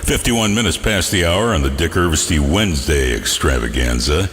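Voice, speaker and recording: A man's voice: rumbling low, expressive, moderate pace, fairly bright tone. A man working as a music radio DJ, chatting playfully with hammy, jokey asides and bits of news. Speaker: radio DJ, host. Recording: reverberant radio stream with music bed.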